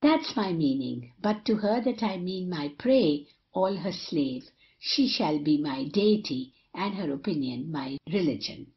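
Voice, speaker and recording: A woman's voice: lowish voice, animated, measured pace, hardly any pauses, lo-fi sound. A woman playing a cynical, scheming young male rake in a period stage comedy, boastful and rhetorical.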